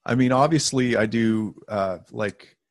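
A man's voice: medium pitch, a little slow, no echo. A man co-hosting a podcast, chatting casually in an informal, joking way with some salty language. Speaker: podcast co-host. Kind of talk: casual conversational podcast chat.

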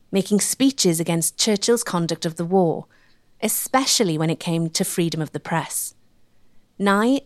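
A woman reading scripted documentary narration in a measured, storytelling way. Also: on the low side, dry recording, studio quality. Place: dry studio voice-over.

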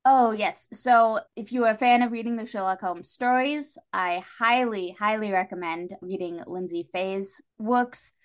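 A woman's voice: mid-range voice, a little slow, hardly any pauses. Enthusiastic woman chatting as podcast guest. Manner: enthusiastic, wry fan talk with playful asides. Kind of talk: casual podcast chat.

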